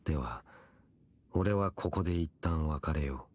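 An actor playing a stoic, brooding young knight in an anime-style fantasy game, speaking in a solemn, curt and measured way. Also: male voice, rumbling low, animated, full character voice. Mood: solemn, steadying. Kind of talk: Japanese anime game voice acting.

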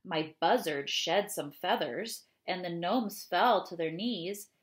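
A woman in her thirties reading children's poems aloud in an expressive, deliberate way, with chatty asides. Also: fairly low pitch. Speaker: read-aloud host. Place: small home room, indoors.

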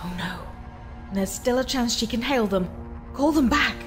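A woman's voice: fairly high pitch, lively intonation, normal speed, faint music behind, lightly acted. A woman playing a serious, determined rebel informant in an audio drama, businesslike with dry wit. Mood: urgent.

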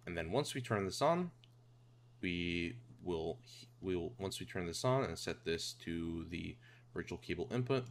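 A man narrating a casual software tutorial, informally explaining things step by step. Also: low-pitched, lively intonation, a little slow. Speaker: tutorial host. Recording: clear background noise and hum.

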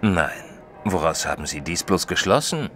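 An actor playing a modest, sensible male companion who narrates a detective audio drama in a measured, literate way. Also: sits low, very animated, fairly bright tone. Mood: mildly puzzled. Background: music.

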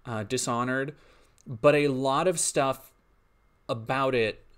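A man's voice: medium pitch, slow pace, fairly bright tone, clear, resonant tone. Rambling, sleepy young man streaming. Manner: rambling, trails off with frequent ums and restarts. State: a little sniffly and sleepy. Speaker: streamer and indie game developer. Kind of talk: casual stream Q&A, reading chat.

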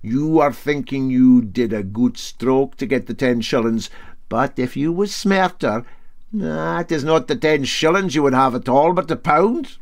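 A man's voice: medium pitch, unhurried, pitched above the performer's own voice, natural voice. A man voicing a crafty, anxious Scottish sportsman in a storybook, hesitant and wheedling. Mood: smug, crowing.

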